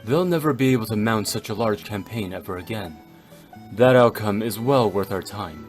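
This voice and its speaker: A man's voice: lowish voice, lively intonation, moderate pace, faint music behind, close to an everyday voice. A man voicing a stoic, cold and brooding warrior in a video game, with solemn, formal, terse delivery. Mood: grim, calculating. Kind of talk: dramatic video game voice acting.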